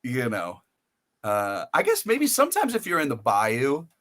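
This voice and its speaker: American male host, bright forceful voice, loose chatty interviewer who wanders into anecdotes. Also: mid-range voice, wildly swooping pitch, a little slow. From United States. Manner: rambling, storytelling with many restarts. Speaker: podcast host. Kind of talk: casual interview chat.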